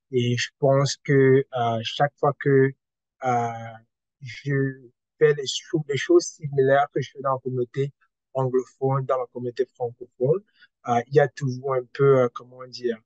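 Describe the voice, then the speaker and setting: A man's voice: mid-range voice, no echo, poor audio. Young man with a Cameroonian French accent. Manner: hesitant, many filler sounds. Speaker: panelist. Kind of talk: panel talk sharing personal anecdotes.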